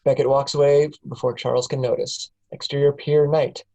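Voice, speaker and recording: A man reading the scene directions aloud in a steady, plain way at a film table read. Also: medium pitch, normal speed, highs cut off.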